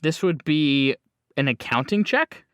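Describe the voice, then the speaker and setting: A man acting as game master, improvising horror narration in a conversational way with pauses and bursts. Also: on the high side, expressive, a bit bright, clear, resonant tone, no echo, studio quality.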